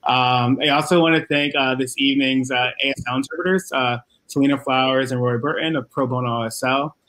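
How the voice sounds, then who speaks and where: A man speaking as an event host, giving thanks and announcements in a halting way with pauses. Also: medium pitch, steady tone, fairly bright tone, poor audio. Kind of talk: event closing remarks.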